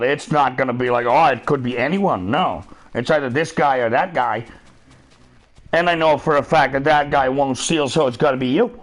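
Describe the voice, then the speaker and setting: A middle-aged man chatting casually while gaming, profane and rambling, laughing often. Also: mid-range voice. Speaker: streamer.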